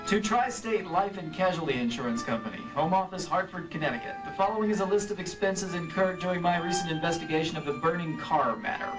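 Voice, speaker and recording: An actor playing a weary, wisecracking insurance investigator in a 1950s-style radio play, recorded live on stage from a distance. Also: male voice, high-pitched, quick pace, hardly any pauses, over music, natural voice. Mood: wry, brisk. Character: weary wisecracking freelance male insurance investigator, narrating his case. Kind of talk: 1950s radio detective acting and narration. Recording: live convention stage, picked up from afar.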